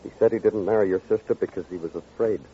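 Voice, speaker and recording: Deep-voiced hardboiled radio noir detective. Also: male voice, steady tone, quick pace, breathy edge. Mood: probing, accusing. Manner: grave, dramatic, accusing. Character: somber, hardboiled New York police detective, world-weary narrator. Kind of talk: 1940s-50s radio noir acting and narration. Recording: dull old radio recording. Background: dramatic music.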